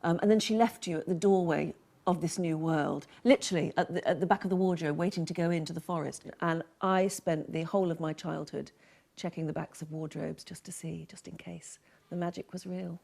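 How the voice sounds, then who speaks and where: A woman's voice: lowish voice, steady tone, fairly quick, vocal fry, a little mellow. A middle-aged woman sharing personal recollections about a favourite book in a conversational way.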